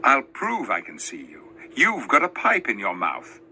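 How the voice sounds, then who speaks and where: A man's voice: mid-range voice, huge pitch swings, measured pace, pushed and bright, slight background noise, full character voice. A man voicing a kindly, tender-hearted girl queen in a storybook reading, earnest and pleading.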